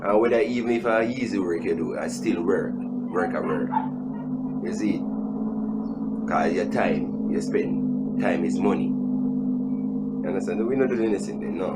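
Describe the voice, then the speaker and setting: Young Jamaican man, lowish voice, joking animated storyteller, chatty, loud music behind him. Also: gravelly, low-quality recording. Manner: animated, joking, repeats himself for emphasis. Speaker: video host. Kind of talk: casual storytime chat.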